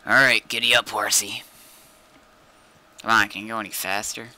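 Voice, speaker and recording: A man's voice: fairly low pitch, level tone, moderate pace, bright, forceful tone. A man chatting casually while streaming a game, thinking aloud in a laid-back way. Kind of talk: casual gaming chat.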